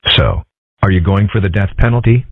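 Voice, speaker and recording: Low, husky deadpan man as cartoon animal. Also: a little fast, dry recording. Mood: dry. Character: deadpan male cartoon animals in a comic strip. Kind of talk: comic strip dub. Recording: muffled, narrow phone-like sound.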